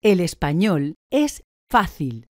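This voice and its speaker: A woman giving slow, deliberate, clearly articulated answers in a language course. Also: on the low side, sing-song, no echo, studio quality. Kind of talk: scripted drill answers.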